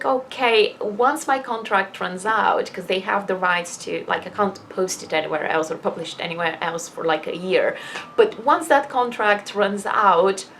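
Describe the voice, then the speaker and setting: Candid woman vlogger over background noise. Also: fairly high pitch. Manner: candid, self-deprecating, rambling with emphatic asides. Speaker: vlogger and fiction writer. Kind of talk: casual personal vlog chat.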